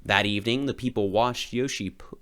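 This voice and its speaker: A man reading a storybook aloud with expressive, dramatic narration. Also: fairly low pitch, brisk, clean tone. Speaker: storybook reader.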